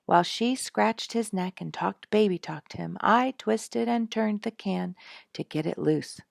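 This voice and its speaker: A woman's voice: medium pitch, a little slow, gravelly, dry recording, clean studio sound, pitched above the performer's own voice. Woman acting a rueful, theatrical dad. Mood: earnest recounting. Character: rueful, dramatic father telling his sorry tale.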